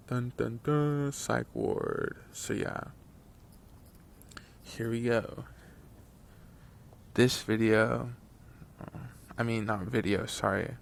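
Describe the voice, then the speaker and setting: A man's voice: medium pitch, vocal fry. A man in his twenties casually telling a long story, rambling with many fillers and restarts. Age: 20s. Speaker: channel host telling a story. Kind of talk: casual story time over gameplay.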